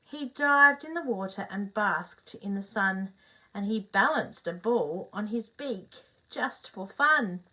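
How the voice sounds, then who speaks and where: A woman reading a children's picture book aloud, expressive, pausing to ask her young listeners questions. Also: medium pitch, poor audio. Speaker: storyteller.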